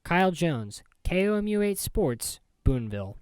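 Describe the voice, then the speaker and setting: A man's voice: a little high, sing-song, normal speed, a little mellow, smooth. A man working as a sports reporter and play-by-play announcer, with an emphatic broadcast delivery.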